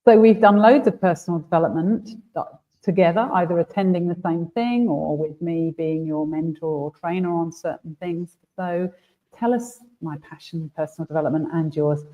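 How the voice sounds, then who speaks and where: A woman's voice: fairly low pitch, normal speed. Woman host on a tinny line. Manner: chatty, warm, many fillers and restarts. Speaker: host and trainer. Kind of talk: casual conversational interview.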